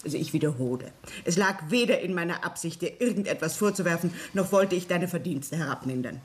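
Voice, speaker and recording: A woman's voice: fairly low pitch, lively intonation, a little fast, lightly acted. A middle-aged woman playing an elegant, materialistic wife in a radio play, composed and needling. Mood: needling, reproachful. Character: elegant, sharp-tongued wife and daughter, materialistic, placating.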